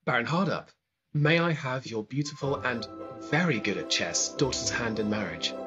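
Eager, polite young prince, lively-voiced man acting. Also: medium pitch, normal speed, highs cut off, over music, lightly acted. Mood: earnest. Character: eager, polite young fairy-tale prince wooing Cinderella. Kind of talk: comic pantomime acting. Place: living room at home. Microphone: video call.

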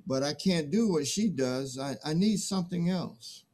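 A naive young pupil in a play, acted by a man. His voice is notably high for a male, and he sounds hesitant, bewildered and timid. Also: expressive, normal speed, darkish tone. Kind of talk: theatrical play reading.

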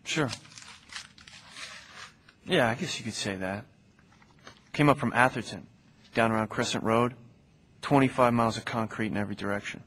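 An actor playing a guarded, streetwise young cemetery worker in an audio drama, speaking plainly and naturally. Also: male voice, mid-range voice, moderate pace, long pauses, fairly bright tone, close to an everyday voice. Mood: casual, reserved. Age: young adult. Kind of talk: naturalistic radio drama dialogue.

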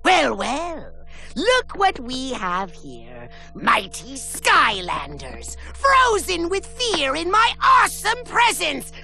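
A tiny evil sorcerer for a cartoony game, high scratchy voice, petulant, super theatrical. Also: male voice, huge pitch swings, slow pace, gravelly, bright, forceful tone, soft background music, heavily acted. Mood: gloating. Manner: theatrical, pompous, gloating. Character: small bald megalomaniac male villain sorcerer, boastful and petulant. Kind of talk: animated video game villain acting.

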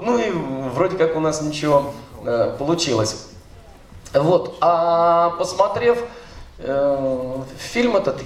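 A man's voice: mid-range voice, a little hiss or hum. Rambling man on stage in an echoey hall. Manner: loose, rambling, many fillers and restarts. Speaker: seminar speaker, dubbing director. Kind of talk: informal seminar talk. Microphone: handheld mic, filmed from the audience. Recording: echoey hall, recorded from far off.

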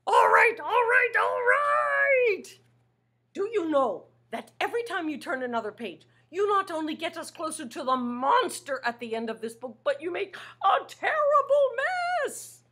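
A woman's voice: sits high, big pitch swings, normal speed, hardly any pauses, a bit bright, pitched above the performer's own voice. A woman voicing a fearful little male monster in a storybook read-aloud, pleading, panicky and wailing. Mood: exasperated.

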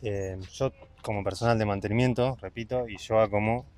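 A man's voice: on the low side, fairly even intonation, normal speed, darkish tone. A young man with a Rioplatense accent, talking casually and matter-of-factly to camera outdoors, listing his work duties. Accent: Rioplatense Spanish. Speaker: travel vlogger. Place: outdoors on rocks by the sea, then a harbor.

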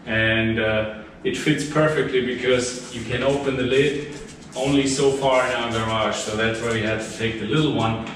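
A man's voice: mid-range voice, fairly even intonation, moderate pace, fairly bright tone. A man in his thirties with a German accent, chatting casually as he shows off his travel gear, with fillers and restarts. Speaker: vlogger. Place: large tiled room indoors, then inside a truck garage. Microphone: camera mic from a few meters off. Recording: echoey room, distant camera mic, noisy.

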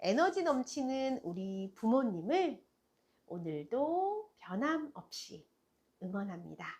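Woman, middle-aged, daycare director, gentle advice talk, slow, sing-song rise and fall. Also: a little high, sing-song. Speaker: daycare center director and channel host. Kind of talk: warm advice talk to parents.

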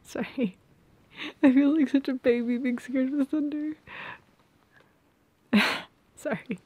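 Young female, acted nervous sweetheart for intimate ASMR roleplay: high, hushed, very slow and halting delivery. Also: steady tone, no room sound. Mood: shy, tender.

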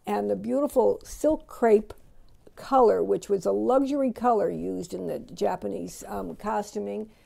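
A middle-aged woman narrates auction pieces in an admiring, unhurried way. Her voice has a heavy gravelly fry and a mellow, dark tone. She often pauses on ums and restarts her phrases. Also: medium pitch. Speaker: auction host presenting antique dolls. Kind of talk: unscripted voice-over commentary.

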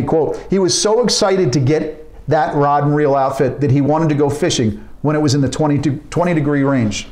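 A man's voice: fairly high pitch, a little mellow. A man in his fifties hosting a fishing report from his home office, chatty and self-deprecating, recorded on a desk webcam. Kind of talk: casual video report.

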